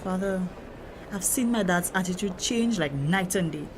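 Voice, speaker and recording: A woman's voice: fairly low pitch, moderate pace, natural voice. A woman acting as a hurt, troubled grown daughter in a drama, speaking earnestly and confidingly about feeling trapped. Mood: distressed. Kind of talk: dramatic acted dialogue. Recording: noisy location sound with steady ambience.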